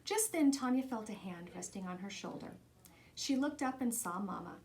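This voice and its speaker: A woman's voice: mid-range voice, expressive, normal speed, a little mellow, a little hiss or hum. A woman reading a children's picture book aloud as scripted story narration. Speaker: storybook reader.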